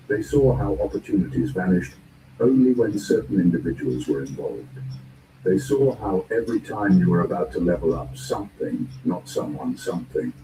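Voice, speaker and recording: Low-pitched male narrator, scripted spoken word, deliberate phrasing, echoing room, noisy distant recording.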